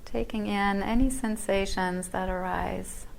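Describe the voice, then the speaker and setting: A woman yoga teacher guiding a body scan meditation in a yoga class, speaking softly and gently, her words often trailing into near-whispers. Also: medium pitch, steady tone, unhurried, gravelly, a little hiss or hum, rough recording.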